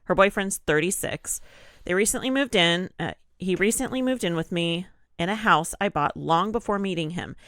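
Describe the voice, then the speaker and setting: A woman with a slightly low, congested voice reads a post aloud for a podcast in an easygoing, chatty way. She sounds a little nasal, and the recording is dry and close. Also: moderate pace, dry recording. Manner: relaxed, laughs often. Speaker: podcast co-host. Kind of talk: casual chat, reading a post aloud.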